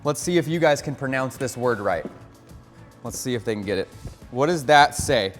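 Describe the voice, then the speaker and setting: A man's voice: medium pitch, animated, normal speed, big-room sound, soft background music. An American man hosting a casual hobby vlog, upbeat and coaching, explaining each step plainly. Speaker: aquarium hobbyist host.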